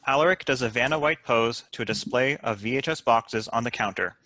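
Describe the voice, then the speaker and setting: A man's voice: mid-range voice, fairly quick, sharp tone, no echo. A man reading out stage directions as scripted narration for a screenplay table read.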